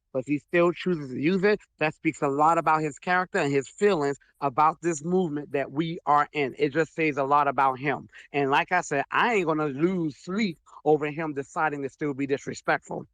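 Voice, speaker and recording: A man's voice: fairly high pitch, a bit bright, no echo. A man taking part in an online audio debate, speaking firmly and earnestly with strong mannerisms.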